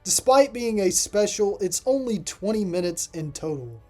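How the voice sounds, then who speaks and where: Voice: mid-range voice, lively intonation, normal speed, darkish tone, faint music behind. A reviewer narrating a scripted video review in a voice-over.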